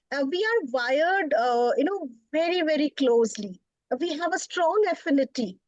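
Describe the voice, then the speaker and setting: A middle-aged woman from Bengal with an Indian English accent, a poet and professor speaking as a guest, often laughing and thoughtful with pauses. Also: high voice, a little mellow, dry recording, highs cut off. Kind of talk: interview conversation.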